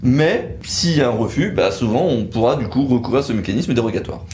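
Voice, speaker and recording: A man's voice: medium pitch, lively intonation, a little fast, a little mellow, a little hiss or hum. Guy walking through legal procedures methodically, mid-range voice, roomy echo on it. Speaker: lawyer explaining procedures. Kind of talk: technical legal explainer discussion. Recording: roomy, echoing sound.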